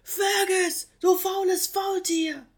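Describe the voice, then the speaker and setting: A woman voicing a fussy, indignant female koala who scolds in a shrill, insistent way. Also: sing-song, a little slow, fairly bright tone, slightly rough, full character voice. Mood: upset.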